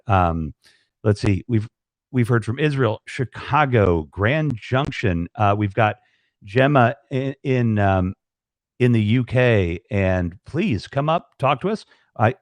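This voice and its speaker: A man's voice: mid-range voice, animated, measured pace, dry recording. A man hosting a casual live stream chat, rambling with many restarts and fillers.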